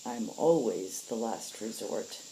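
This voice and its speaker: A woman's voice: very deep voice, animated, slow pace, dark tone, slight background noise, exaggerated voice, low-quality recording. A woman voicing a stern, weary, blunt female agent in a story.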